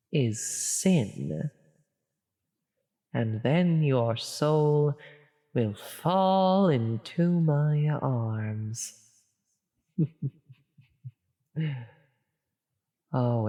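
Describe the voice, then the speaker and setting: A woman voicing a grandiose female demon in an ASMR audio roleplay, theatrical and formal, with halting delivery. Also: low-pitched, mellow, exaggerated voice. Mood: gloating menace. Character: menacing female demon, grandiose, increasingly flustered and concerned. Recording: long cavernous reverb.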